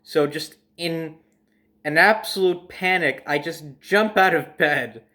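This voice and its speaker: A young adult man telling a casual personal story as host, in a dramatic, emphatic manner with drawn-out phrases. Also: on the high side, bright, forceful tone.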